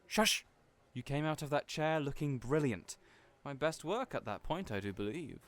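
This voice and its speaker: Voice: mid-range voice, expressive, normal speed, lightly acted. An actor in an audio roleplay playing a cheerful, chatty hairdresser who is theatrical, effusive and playfully teasing. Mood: teasing, excited.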